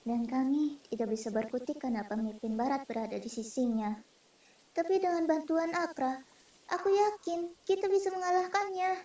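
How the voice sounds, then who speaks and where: A woman's voice: high-pitched, normal speed, warm tone, lightly acted. A woman voicing an earnest female spirit plotting to free her kind in a comic dub, recorded in a roomy space. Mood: earnest, reassuring. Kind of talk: Indonesian comic dub acting. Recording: roomy, distant microphone.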